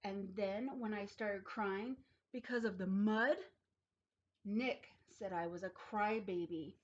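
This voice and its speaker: A woman reading a children's picture book aloud expressively, with dramatic, drawn-out emphasis. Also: mid-range voice. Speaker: storybook reader. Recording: dull, lo-fi sound.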